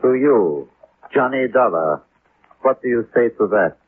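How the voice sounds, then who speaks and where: An actor as a formal German official, old muffled radio. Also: male voice, mid-range voice, expressive, unhurried, faint music behind, lightly acted. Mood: grave. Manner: formal, stilted inverted phrasing. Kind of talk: 1950s radio drama acting. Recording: muffled, noisy 1950s radio recording.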